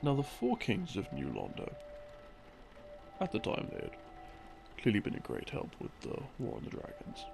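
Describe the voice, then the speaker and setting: A man's voice: fairly low pitch, huge pitch swings, moderate pace, gravelly, pauses often. A man giving a rambling, intimate, casual explanation of lore, with wry, hesitant asides. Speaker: audio creator narrating as a boyfriend explaining game lore. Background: soft game theme music.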